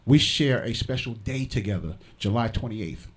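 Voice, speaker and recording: A man's voice: fairly low pitch, animated, moderate pace, breathy edge. A man hosting a radio show in casual interview chat, jovial and bantering with his guests.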